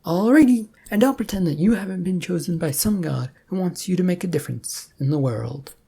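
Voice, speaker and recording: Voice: mid-range voice, expressive, a little fast, vocal fry, warm tone, breathy edge, pitched above the performer's own voice. A storyteller voicing a chatty, cheerful old man who breezily claims to be a prophet in an audiobook. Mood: knowing. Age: older. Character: old George, slow cheerful eccentric self-proclaimed prophet.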